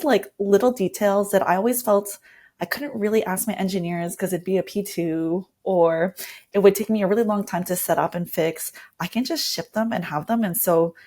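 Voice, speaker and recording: A woman's voice: mid-range voice, a little mellow. A woman with a mid-range voice talks as a guest in an interview. She explains her working process conversationally, with an enthusiastic manner. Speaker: interview guest, design lead.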